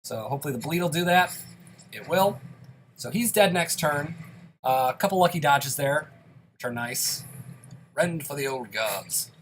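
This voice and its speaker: A man's voice: fairly high pitch, animated, moderate pace, long pauses, a little hiss or hum. A young man giving casual, self-deprecating play-by-play commentary on a dungeon game while a bit sick. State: slightly sick, occasional coughs. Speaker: gaming YouTuber.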